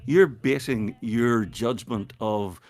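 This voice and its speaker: A man's voice: mid-range voice, slow, darkish tone, dry recording. A man with a Northern Irish accent chatting as a guest, blunt and dry, scolding with mock indignation, a bit tipsy from whiskey. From Northern Ireland. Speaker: guest on a call-in stream. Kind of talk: casual chat.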